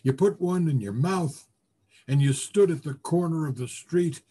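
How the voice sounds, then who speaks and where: A man with a husky voice reading a literary story expressively, savoring the words with deliberate pauses. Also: a little high, mellow. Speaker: voice actor, story reader. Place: remote video call presentation.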